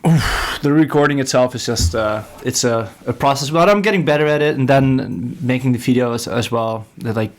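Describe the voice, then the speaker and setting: A man's voice: medium pitch, moderate pace. A Dutch man, a skate shop owner and guest, chatting casually in English with hesitant, self-deprecating talk. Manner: hesitant, many fillers and restarts, self-deprecating. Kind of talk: casual podcast chat.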